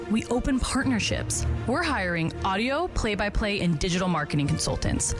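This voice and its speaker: Woman, polished radio ad read, measured delivery, steady music bed underneath. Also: a little high. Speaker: advertisement announcer.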